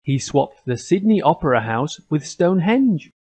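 A man's voice: fairly high pitch, very animated, moderate pace, dark tone, slightly rough. A man with an American accent narrating a scripted children's story.

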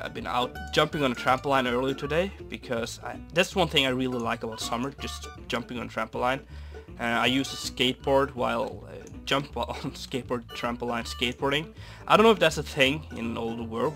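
Young man, raspy cold voice, from Norway, rambling game commentary, soft guitar music behind. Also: medium pitch, brisk, hardly any pauses. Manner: rambling, easygoing, many fillers. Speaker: Minecraft builder. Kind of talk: casual gameplay commentary.

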